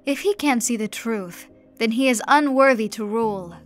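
A woman voicing a defiant male vampire duke in chains, shouting scornfully at his captors in an audiobook. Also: a little high, normal speed, faint music behind, natural voice. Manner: loud, shouting, scornful laughter.

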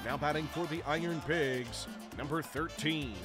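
A man's voice: on the high side, moderate pace. A man in his thirties with an American accent, announcing as a baseball public address announcer and stretching out names in a showman's delivery. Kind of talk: scripted sports PA announcing. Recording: music behind the announcements. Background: music.

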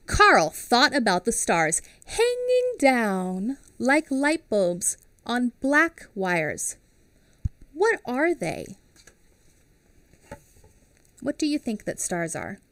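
A woman in her thirties reading a picture book aloud to children on a headset mic, pausing to explain and ask questions. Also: a little high, no room sound. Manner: expressive, asks children questions. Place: small home studio with classroom backdrop.

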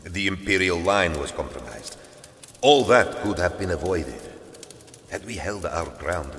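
An actor playing a grave, battle-worn space warrior, telling his tale in a measured, solemn dramatic style. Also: low-pitched, a bit bright, slight background noise, exaggerated voice. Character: brooding battle-worn Space Wolf warrior recounting a lost battle. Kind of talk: dramatic audio-drama storytelling. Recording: long reverb with music underneath.

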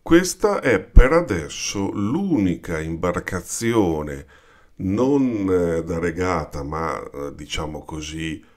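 A man with an Italian accent, explaining yacht design in an unhurried, informal voice-over over pictures. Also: deep voice, lively intonation. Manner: unhurried and explanatory, addresses viewers with "vedete".

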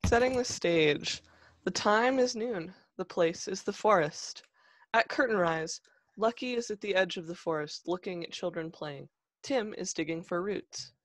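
A woman's voice: fairly low pitch, a little slow, dry recording. A woman reading scripted stage directions as the narrator of a children's play.

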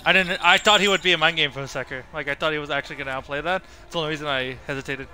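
Guy streaming a game, kinda higher sharp voice, just rambling thru his thoughts while he plays. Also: racing, faint music behind. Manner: rambling, thinking aloud. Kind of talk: casual live gameplay commentary.

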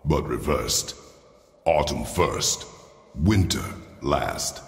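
A stern, battle-hardened warrior father in a video game. His voice is gravelly and extremely low, with terse commands that swing in pitch. It rings in a reverberant chamber. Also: animated, extremely slow, full character voice. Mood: brooding, deliberate. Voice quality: very deep, gravelly. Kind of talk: cinematic video game acting. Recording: roomy reverberant stone chamber, game ambience.

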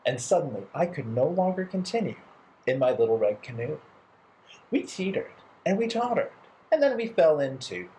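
A man in his thirties narrating a children's storytime playfully and theatrically, with deliberate phrasing. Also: fairly high pitch, big pitch swings, mellow, a little hiss or hum, low-quality recording. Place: bright living room indoors.

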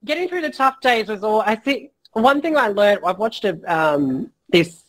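A man guesting on a business interview, talking frankly and casually with many fillers and the odd swear word, his voice going a little hoarse. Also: high-pitched, very animated, normal speed, a bit bright. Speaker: guest entrepreneur.

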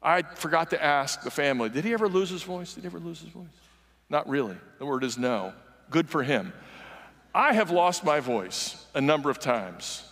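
Man in his sixties, earnest conversational preacher, fairly high voice, big reverberant sanctuary. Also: normal speed. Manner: earnest, conversational preaching with animated gestures, walking the stage. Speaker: guest preacher. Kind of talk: sermon. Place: large church sanctuary. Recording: long reverb of a large church hall.

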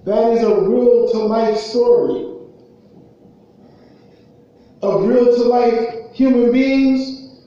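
A man with an American accent preaching a sermon in an emphatic way, repeating phrases and pausing between them. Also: very high voice, steady tone, warm tone. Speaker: preacher giving a Friday khutbah. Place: indoor prayer hall, at a raised pulpit. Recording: muffled, very echoey hall, far from mic.

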